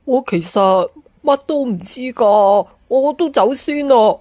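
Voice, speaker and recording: An actress playing a flustered, bossy building security guard in a radio drama, with a nagging Hong Kong way of speaking. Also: female voice, a little high, lively intonation, takes it slowly, darkish tone, no echo, studio quality. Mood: stern, subdued. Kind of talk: Cantonese radio drama acting.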